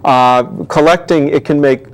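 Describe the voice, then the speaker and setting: A man's voice: fairly high pitch, unhurried, rough recording. A man speaking conversationally as an expert guest in an interview, explaining things with enthusiasm. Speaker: guest antiquarian book expert.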